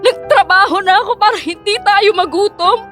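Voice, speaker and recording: A woman's voice: very high voice, normal speed, fairly bright tone. A woman acting a hardworking, weary student daughter in a Filipino radio drama, earnest and emotional, pleading with a Filipino accent. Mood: tearful, defiant. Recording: music bed under the voice.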